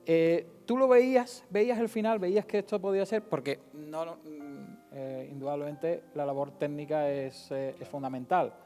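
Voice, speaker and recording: A man's voice: a little high, normal speed, hardly any pauses, mellow. A man presenting an event, interviewing informally in a hesitant, repetitive way. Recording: echoey hall with music behind.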